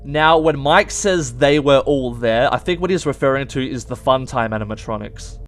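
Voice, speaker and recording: A man's voice: medium pitch, normal speed, soft background music. A man hosting informal explanatory video game commentary, emphatic and stretching key words as he goes. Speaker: video game theory host.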